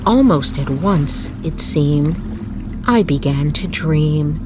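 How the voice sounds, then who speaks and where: Female narrator for soothing bedtime fiction: low pitch, unhurried measured reading, noticeable background noise. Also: animated. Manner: measured, literary read-aloud delivery.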